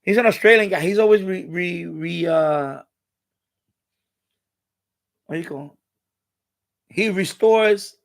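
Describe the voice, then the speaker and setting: New guy chatting on a livestream, highish creaky voice, rambles and keeps restarting sentences. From New York City, USA. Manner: rambling, repetitive, halting mid-sentence. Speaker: live stream host. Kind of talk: casual unscripted live-stream chat.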